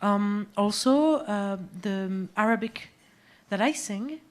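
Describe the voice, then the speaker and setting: A woman, a musician guest talking about her music in a live on-stage interview, pausing often to search for words. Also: mid-range voice. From Algeria, raised in France. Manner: hesitant, searching for words, many fillers. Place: small music venue stage.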